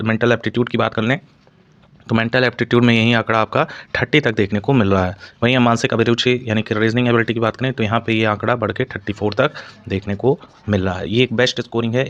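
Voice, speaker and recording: A man's voice: on the low side, a bit bright, dull audio. A man explaining exam information to students as a channel host, in an explanatory way with repeated stock phrases.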